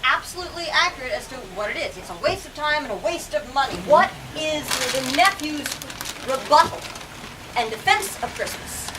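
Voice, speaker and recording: A woman leading a lively book talk in a bookstore, asking questions and switching into dramatic readings. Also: high voice, moderate pace. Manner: animated, asks questions, shifts into dramatic reading. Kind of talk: dramatic reading and discussion with children. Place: bookstore event space. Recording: distant mic, noisy room.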